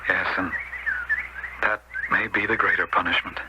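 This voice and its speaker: A man's voice: sits low, flat delivery, normal speed, very noisy, natural voice. An actor playing a grave, bitter man stricken with guilt over his brother in a radio drama. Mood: anguished guilt.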